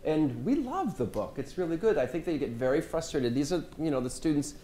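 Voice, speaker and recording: Mellow-voiced man presenting in an echoey room. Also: fairly high pitch, sing-song, fairly quick. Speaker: French language instructor. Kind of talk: workshop presentation and discussion. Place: medium-sized seminar room with audience. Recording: echoey room, faint hiss.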